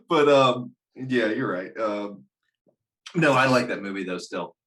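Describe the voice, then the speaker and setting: A man's voice: lowish voice, slow pace, fairly bright tone, rough recording. A man hosting a casual chat in a jokey, good-humored way. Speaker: host.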